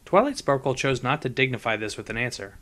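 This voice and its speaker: A man's voice: mid-range voice, big pitch swings, fast, a bit bright. A man narrating a scripted story as an audiobook reader.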